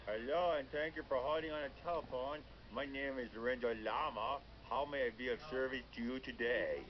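A man voicing a nervous, literal-minded llama, awkward and friendly, halting and repeating himself, as a character on a children's radio phone-in. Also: medium pitch, voice pushed down, lightly acted. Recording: muffled, noisy re-recording off a speaker.

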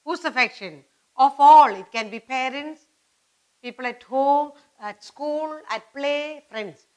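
A woman's voice: high-pitched, takes it slowly, a little mellow, poor audio. A middle-aged woman with an Indian accent teaching young children, speaking emphatically in simple, repeated phrases and questions. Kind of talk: instructional lesson for young children. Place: indoor studio at a whiteboard.

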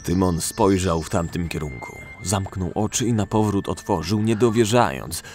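A man's voice: low-pitched, normal speed, a little mellow, soft background music. A man narrating an audio drama in a scripted storytelling style.

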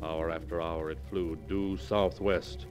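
A man's voice: low voice, little pitch movement, measured pace, darkish tone. A man narrating a mystery tale in 1940s radio style, as a scripted storyteller. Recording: old radio recording with music. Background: dramatic instrumental music.

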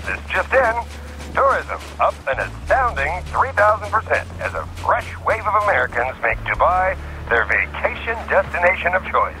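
An actor playing a glib, mocking news announcer delivering a showy parody bulletin in a video game. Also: male voice, sits high, big pitch swings, moderate pace, sharp tone, exaggerated voice, low-quality recording. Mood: mock cheerful. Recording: faint noise behind announcement.

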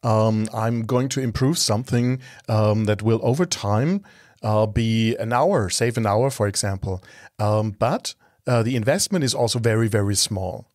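A man's voice: lowish voice, a little mellow, no echo. Hesitant male interviewer, dry close sound. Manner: hesitant, halting phrasing. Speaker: podcast host, interviewer. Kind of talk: conversational interview.

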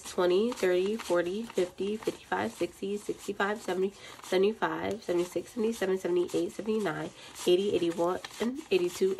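A woman's voice: lowish voice, a little hiss or hum. Stuffy-voiced young woman, chatty vlogger. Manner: chatty, rambling, counts aloud. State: stuffy from a cold. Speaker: budgeting channel host. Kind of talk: casual chat while counting cash. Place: indoors at a desk.

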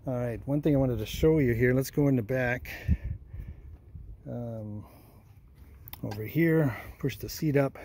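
Mellow-voiced man narrating a car walkaround. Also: mid-range voice. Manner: unhurried, plain-spoken, many ums and uhs, trails off quietly. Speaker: vintage car restoration vlogger. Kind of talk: casual walkaround narration behind a handheld camera. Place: old car in a garage and driveway. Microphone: phone camera held in hand.

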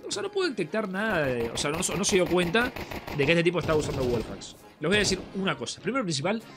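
A man's voice: high-pitched, sing-song, fast. A young man with a Rioplatense Spanish accent, congested from a cold, chatting casually with viewers while commenting on a game replay. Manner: chatty, addresses viewers as friends. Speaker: gaming streamer. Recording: game music and gunfire behind.

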